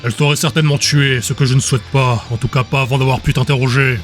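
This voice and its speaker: A man acts out an old, battle-worn councillor from a fantasy audiobook. He speaks in a guttural, grave voice with stern authority and suspicion, over clearly audible music. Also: steady tone, quick pace, fairly bright tone, natural voice. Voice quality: low, guttural.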